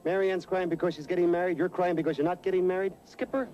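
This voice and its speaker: An actor playing a naive, good-hearted, bumbling sidekick in a TV sitcom, guileless and puzzled, taking everything literally. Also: male voice, high voice, steady tone, quick pace, a little mellow, highs cut off, a little hiss or hum, close to an everyday voice. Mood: bewildered. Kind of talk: broad TV sitcom acting.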